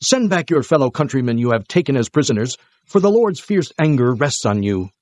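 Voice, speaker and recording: An actor playing a bold, indignant prophet, declaiming and admonishing in a dramatized scripture reading. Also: male voice, mid-range voice, big pitch swings, brisk, darkish tone, no room sound, natural voice. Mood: indignant warning.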